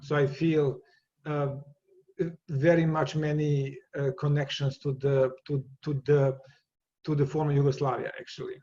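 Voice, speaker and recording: A man's voice: a little high, level tone, measured pace, mellow, lo-fi sound. A man from Serbia with a Serbian accent, a guest in an interview, talking casually with many restarts and repeated words.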